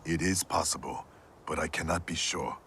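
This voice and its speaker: A man's voice: rumbling low, normal speed, sharp tone, a little hiss or hum, full character voice. A man playing a stern, dutiful warrior in a video game cutscene, terse and formal. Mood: grave, dutiful. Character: stern, dutiful male warrior, once undead.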